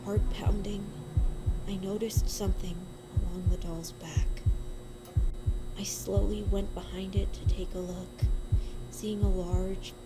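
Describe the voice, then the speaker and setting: A woman's voice: medium pitch, little pitch movement, slow pace, over loud music, low-quality recording. A woman giving a dramatic first-person reading of a horror story, with a suspenseful, emotive delivery.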